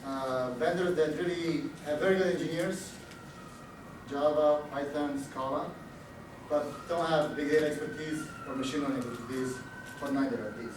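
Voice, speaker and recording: A man with a Romanian accent giving a technical conference talk, halting with many fillers and restarts. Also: on the high side. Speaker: conference speaker. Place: large echoey conference room. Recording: echoey room audio, distant mic, background noise.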